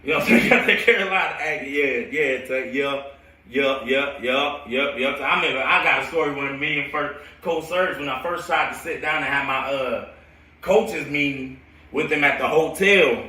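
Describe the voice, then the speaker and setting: American guy rambling stories on a stream, slangy n animated, really bright voice, echoey room. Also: mid-range voice, moderate pace. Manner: animated, slangy, laughs often. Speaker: football coach hosting a storytelling show. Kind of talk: casual, rambling storytelling on a live stream. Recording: roomy echo, distant from the mic.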